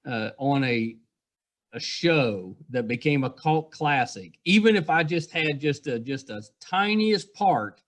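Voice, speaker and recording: A middle-aged man chatting casually as an interviewer, agreeable and somewhat halting in how he phrases things. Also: mid-range voice, lively intonation. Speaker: podcast host, interviewer.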